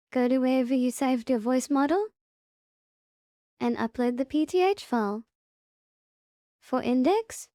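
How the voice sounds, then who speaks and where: Slow, high-voiced woman giving instructions. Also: a little mellow, no room sound, studio quality. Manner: instructional, short simple sentences. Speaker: tutorial presenter. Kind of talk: step-by-step software tutorial.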